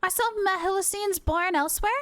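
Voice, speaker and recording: A woman voicing a small, chatty, excitable fairy companion from a video game, exclamatory and curious. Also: moderate pace, dry recording. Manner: squeaky, exclamatory, refers to self in third person. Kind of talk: video game dialogue read aloud.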